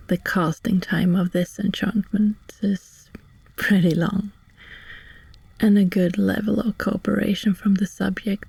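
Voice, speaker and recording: A woman voicing a friendly, calm sorceress in a whispered ASMR hypnosis roleplay, gentle and reassuring, breathy and soothing, close to the microphone. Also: mid-range voice, no room sound, full character voice. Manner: slow, soothing, deliberate with long pauses. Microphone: close, dry microphone.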